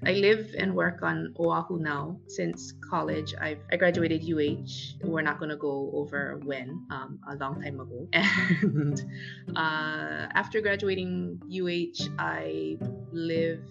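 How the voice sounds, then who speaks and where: A woman from Maui co-hosting a podcast, relaxed and self-deprecating as she introduces herself. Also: low voice, slow pace, gravelly, dull audio, music in the background. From Maui, Hawaii. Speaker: podcast co-host. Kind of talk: casual podcast chat.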